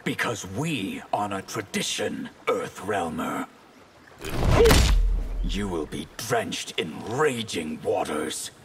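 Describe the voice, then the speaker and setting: A solemn, proud high mage in a fighting game. He speaks formally and very slowly in a fairly low voice. Long reverb and clear noise surround him. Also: lively intonation. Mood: solemn, ominous.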